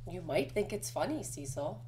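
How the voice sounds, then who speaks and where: A woman voicing a grumpy, loud little male mouse for a picture book, indignant and emphatic. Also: fairly low pitch, lively intonation, moderate pace, a little hiss or hum, lightly acted. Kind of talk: children's picture book read-aloud.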